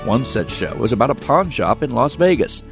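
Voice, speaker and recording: Gravelly-voiced male news feature narrator. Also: mid-range voice, very animated, moderate pace, music in the background. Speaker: reporter.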